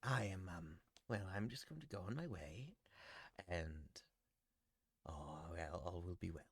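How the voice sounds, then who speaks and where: A man's voice: low voice, gravelly, husky, dry recording, full character voice. A man doing a frail, courtly old archbishop. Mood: hesitant. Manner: hesitant, halting, polite. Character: frail older male archbishop, courtly and apologetic.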